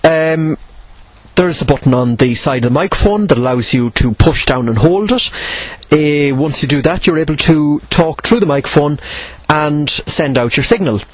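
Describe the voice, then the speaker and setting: A man with a slightly husky voice giving an informal tutorial, explaining in a measured way and posing questions he then answers. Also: fairly high pitch, animated, no room sound, rough recording. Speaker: presenter of instructional radio videos.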